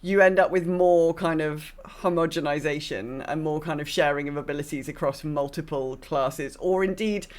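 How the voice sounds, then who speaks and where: A woman's voice: sits low, normal speed, hardly any pauses, darkish tone. A woman co-hosting a podcast in a casual gaming discussion, opinionated and thinking aloud, often repeating words for emphasis. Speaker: podcast co-host.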